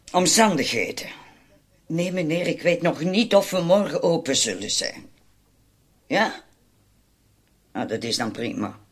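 A husky, excitable madam, acted by a man in a radio play. The voice swings widely in pitch, with a fretful, exasperated edge. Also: heavily acted. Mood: brisk polite. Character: anxious, exasperated madam of a private club. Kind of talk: radio drama acting.

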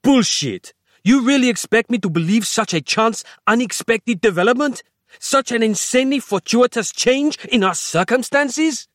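A man's voice: extremely high pitch, lively intonation, moderate pace, no room sound, clean studio sound, voice pushed up. A man voicing a hot-tempered, impatient kidnapper with a gun in a thriller audiobook, barking and snapping his words. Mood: incredulous, irritated.

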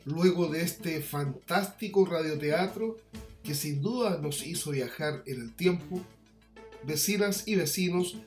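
A man's voice: fairly high pitch, darkish tone. Echoey male radio host. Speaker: radio news programme host. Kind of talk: scripted radio sign-off, formal presenting. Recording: roomy echo, faint music.

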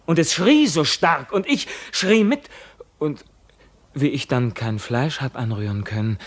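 An actor playing a tormented, bewildered young prisoner in a radio play, speaking in a halting, declamatory way. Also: male voice, mid-range voice, expressive. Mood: troubled questioning. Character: tormented imprisoned young man, feverish, bewildered, brooding. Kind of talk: classic German radio play acting.

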